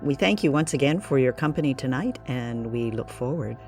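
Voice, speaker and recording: A woman's voice: very deep voice, lively intonation, brisk, a little mellow, soft background music. A woman hosting a radio show in a casual, chatty style, with a light, playful manner. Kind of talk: casual hosted chat.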